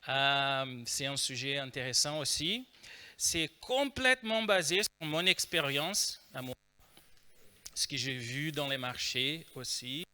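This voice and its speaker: Bright slow Brazilian-accented man speaking. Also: mid-range voice, animated, smooth. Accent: Brazilian Portuguese. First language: Portuguese. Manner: joking, hesitant with many fillers. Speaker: conference speaker. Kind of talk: conference talk.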